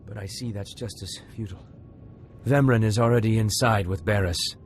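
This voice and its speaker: An actor playing an anxious, craven young Sith trainee in a video game, earnest and faltering, with music underneath. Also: male voice, on the low side, steady tone, moderate pace, slight background noise, lightly acted. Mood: desperate, resigned. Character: anxious, defeated male Sith acolyte, craven and grateful. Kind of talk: scripted video game drama.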